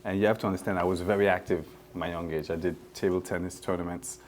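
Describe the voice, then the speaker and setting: A man in his forties with a mixed Caribbean accent, a guest talking earnestly with many halting fillers. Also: deep voice, steady tone, a little mellow, slight background noise. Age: 40s. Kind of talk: casual chat.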